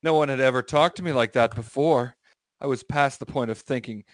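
A man's voice: mid-range voice, fairly quick, no echo. A man hosting a comedy podcast, reading a story aloud and breaking off into banter with friends.

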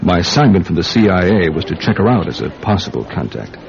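An actor playing a wry, world-weary American intelligence agent in a radio drama, dry and deliberate as he tells and probes his case. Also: deep voice, sing-song, gravelly, a little hiss or hum, exaggerated voice. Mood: wry, brooding. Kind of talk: radio drama acting, first-person spy narration. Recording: dull radio recording with music. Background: music.

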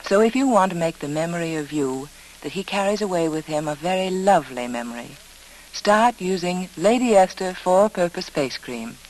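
A woman's voice: fairly low pitch, expressive, normal speed, background noise. A woman reading a 1940s radio advertisement as a commercial announcer, with polished, persuasive delivery on a vintage recording.

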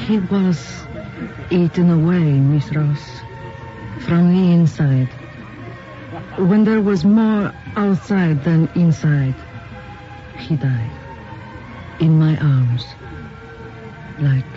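A woman playing a grave, enigmatic Mexican widow with a blunt, measured manner, in a radio drama. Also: low-pitched. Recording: muffled lo-fi radio drama, faint music.

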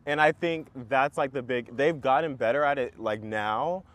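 A man's voice: medium pitch, normal speed. A young man hosting a casual talk, easygoing, often murmuring agreement while his guest speaks. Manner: easygoing, frequent murmured backchannels. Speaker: podcast host. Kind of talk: casual conversation.

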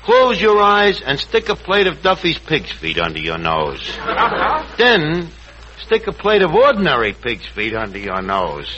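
Hapless male barkeep-announcer, 1940s radio comedy: high-pitched, very animated, mock-formal, wheedling. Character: Archie, hapless tavern manager playing radio announcer. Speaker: host. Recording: muffled, very noisy vintage radio broadcast.

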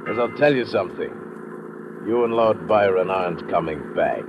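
Actor as smug American crook on muffled old radio. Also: male voice, deep voice, moderate pace, loud music behind the voice, natural voice. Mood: smug, menacing. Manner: taunting, falsely chummy, commanding. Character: smug menacing crook posing as a friendly American tourist. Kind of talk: old-time radio drama acting. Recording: muffled, noisy vintage radio recording.

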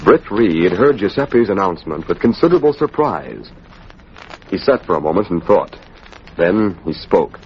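An actor as a solemn, dramatic narrator of a 1940s radio drama. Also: male voice, sits low, very animated, normal speed. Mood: dramatic, measured. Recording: dull, noisy old radio recording.

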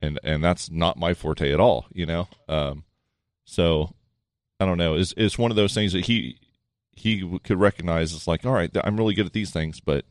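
A man with a low voice, a musician, reflecting thoughtfully and haltingly in casual chat. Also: no room sound, clean studio sound. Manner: thoughtful, halting, many restarts.

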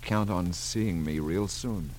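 An actor playing a frightened, evasive man in a 1940s radio drama, speaking in a halting, pleading way. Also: deep voice, a little mellow. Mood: uneasy. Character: frightened, evasive well-dressed man caught at a crime scene. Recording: noisy old radio recording.

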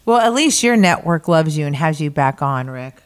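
A woman co-hosting a casual chat show, teasing her partner and telling stories in a playful, animated way. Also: sits low, normal speed, smooth. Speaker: co-host.

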